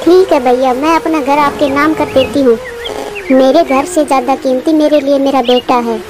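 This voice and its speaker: A poor, devoted little mother bird from a children's cartoon, acted by a woman. The voice is very high, humble and earnest. Music plays clearly behind it. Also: fairly even intonation, moderate pace, close to an everyday voice. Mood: distressed, resigned. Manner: earnest, pleading, polite. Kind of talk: Hindi children's cartoon voice acting.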